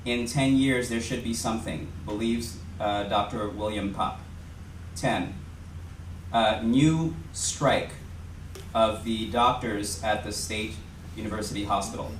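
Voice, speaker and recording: A man's voice: lowish voice, unhurried. A man speaking on a panel, earnestly reading out a list of headlines. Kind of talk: panel talk. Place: lecture hall. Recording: echoey room, mic far off, faint noise.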